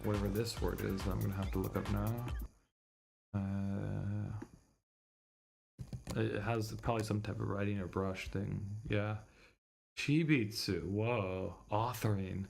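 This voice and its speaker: Low, gravelly-voiced man streaming casually. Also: fairly even intonation, measured pace, pauses often, over music. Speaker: streamer reading a visual novel. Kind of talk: casual livestream commentary, thinking aloud.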